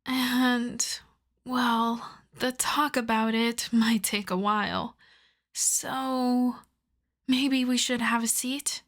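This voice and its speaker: A woman playing a polite, coyly obsessive vampire lab technician in an ASMR roleplay, speaking softly and courteously, often breathily, straight to the listener. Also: on the high side, fairly even intonation. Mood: reassuring, measured. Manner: soft-spoken, courteous, measured, often breathy.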